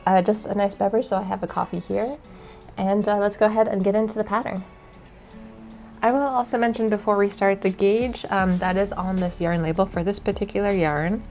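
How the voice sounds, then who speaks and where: A woman with a stuffy, cold-affected voice, explaining a knitting tutorial in a relaxed way with frequent ums. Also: on the low side. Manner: relaxed, many ums and uhs. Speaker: knitting instructor.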